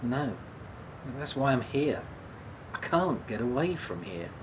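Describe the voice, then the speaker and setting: An actor playing a subdued, guilt-ridden man in a radio play, speaking haltingly and repeating his words. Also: mid-range voice, big pitch swings, a little hiss or hum, rough recording. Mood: morose, weary. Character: guilt-ridden, self-blaming man, an ex-prisoner, subdued and troubled. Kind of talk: radio play dialogue, naturalistic.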